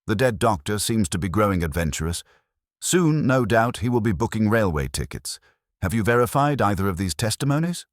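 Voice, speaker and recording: A man playing a calm, measured police inspector with a formal, wry way of talking in a mystery audiobook. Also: lowish voice, dry recording, studio quality, voice pushed up.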